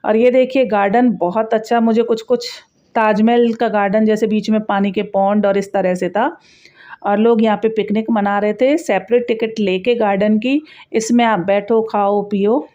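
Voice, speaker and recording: A woman's voice: mid-range voice, fairly even intonation, moderate pace, mellow. A woman giving a casual, chatty travel vlog voice-over, explaining sights directly to viewers. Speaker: travel vlogger narrating a sightseeing tour. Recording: dull, muffled lo-fi sound.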